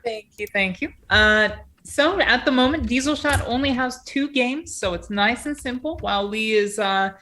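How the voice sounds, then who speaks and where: A woman's voice: a little high, normal speed, hardly any pauses, pushed and bright, slight background noise. A woman game master chatting cheerfully about the campaigns she runs. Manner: upbeat, chatty, promotional. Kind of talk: casual stream chat.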